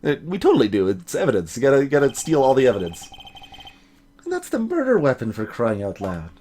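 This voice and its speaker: A man's voice: mid-range voice, huge pitch swings, fast talker, mellow. A man hosting a let's play, giving game commentary with wry, joking asides. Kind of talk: game commentary, reading dialogue aloud in character.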